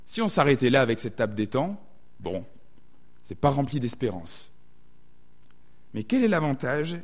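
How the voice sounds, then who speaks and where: A man's voice: mid-range voice, a little mellow. A man with a southwestern French accent preaching a sermon, thoughtful and often hesitating to reword his thoughts.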